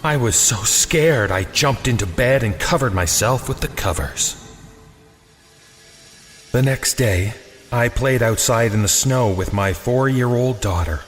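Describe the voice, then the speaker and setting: A man reading a scripted first-person horror story in a suspenseful, dramatic way with deliberate pauses. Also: medium pitch, expressive. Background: music.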